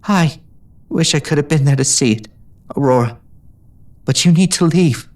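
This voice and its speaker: A man's voice: high voice, fairly quick, a little mellow, pitched above the performer's own voice, natural voice. A man voicing Ginger, an older injured mare pony who mentored the heroine, speaking in a shaky, pleading voice. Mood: tender. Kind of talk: storybook reading.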